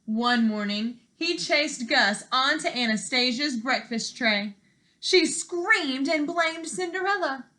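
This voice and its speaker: A young woman reading a children's storybook aloud as a teacher, expressive, with deliberate emphasis. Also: sits high. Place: living room at home.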